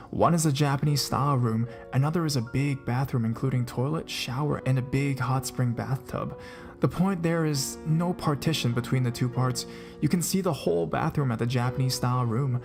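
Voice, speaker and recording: Gravelly man narrating ghost stories. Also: mid-range voice, a little mellow. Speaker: narrator of a ghost story channel. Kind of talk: scripted narration of reader-submitted stories. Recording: guitar music underneath.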